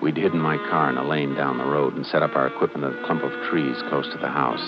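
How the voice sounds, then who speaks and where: An actor playing a calm, methodical Texas Ranger in a 1950s radio crime drama, speaking tersely and matter-of-factly. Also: male voice, very deep voice, fast, loud music behind the voice. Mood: quietly intent. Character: calm, methodical Texas Ranger lawman narrating his case. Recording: noisy, muffled 1950s radio recording.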